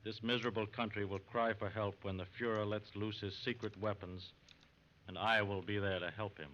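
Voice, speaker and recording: An actor playing a steady, serious federal agent with an American accent, measured and deliberate, in 1940s radio drama style. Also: male voice, on the low side, lo-fi sound, lightly acted. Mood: grave, reflective. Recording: noisy, band-limited 1940s radio recording.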